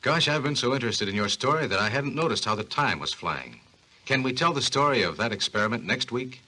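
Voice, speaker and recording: Bright, eager old-time radio interviewer. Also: male voice, medium pitch, animated, fairly quick, low-quality recording. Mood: impressed. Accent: American. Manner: earnest, folksy questioning. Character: eager, curious male interviewer, easily impressed. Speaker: program host and announcer. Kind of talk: 1940s scripted radio interview. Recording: rough 1940s radio recording.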